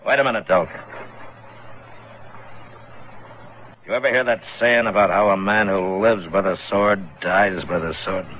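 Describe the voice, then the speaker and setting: Old West lawman: American, lowish, stern, blunt authority, dull old-radio sound with faint noise. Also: steady tone, moderate pace, fairly bright tone. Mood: grave. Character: stern, unyielding Old West town marshal. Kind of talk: 1950s western radio drama acting.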